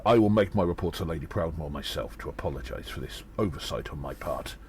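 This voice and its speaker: Man as a deep, grave senior officer. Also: animated, a little hiss or hum. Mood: grave regret. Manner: formal, measured, apologetic. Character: grave, contrite male senior military officer. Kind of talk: audio drama acting.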